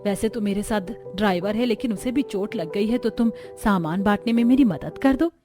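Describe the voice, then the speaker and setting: A woman voicing a gentle, earnest older lady in a cartoon story. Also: mid-range voice, fairly quick, over music, close to an everyday voice. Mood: grateful. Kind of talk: Hindi cartoon story dialogue.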